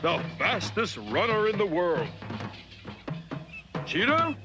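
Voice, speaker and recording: Pompous lion king, lively swooping delivery. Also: high voice, slow, music in the background, heavily acted. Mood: proud, ceremonious. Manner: pompous, proclaiming. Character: proud lion king of the jungle, male, grandly ceremonious. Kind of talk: animated children's story acting.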